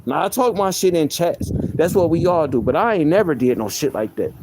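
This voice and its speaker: A man with a soft voice, venting on a live stream in a heated, profane way, often repeating himself. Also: fairly high pitch, animated, fairly quick, slight background noise, low-quality recording. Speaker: livestreamer.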